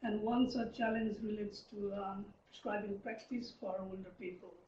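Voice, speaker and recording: A man in his thirties from Nepal giving an academic research presentation, pausing often with um and uh. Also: high-pitched, fairly even intonation, a little mellow, slight background noise. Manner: hesitant, frequent um and uh fillers. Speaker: research lecturer. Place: lecture room. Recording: distant, dull, roomy lecture recording.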